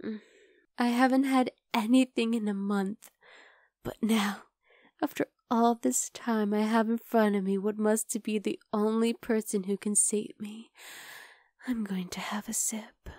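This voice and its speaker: A woman playing a possessive, bloodthirsty vampire girl in an ASMR roleplay, talking intimately to the listener and slipping into breathy whispers. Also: mid-range voice, steady tone, unhurried, a little mellow, no echo, clean studio sound, lightly acted. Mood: hungry, intoxicated.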